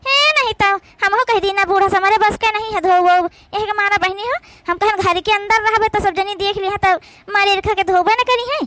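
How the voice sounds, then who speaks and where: Woman playing a bright-voiced, sly Awadhi daughter-in-law. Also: very high voice, fairly even intonation, brisk, a little hiss or hum. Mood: grumbling. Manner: evasive, rambling with filler phrases. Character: sly, work-shy village daughter-in-law, adult woman. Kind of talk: village comedy cartoon dialogue.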